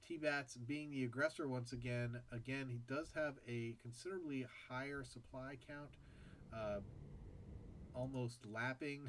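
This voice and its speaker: A man's voice: medium pitch, rough recording. Stuffed-up, nasal male game caster. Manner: casual, thinking aloud between plays. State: recovering from a sore throat. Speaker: esports caster. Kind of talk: solo game commentary.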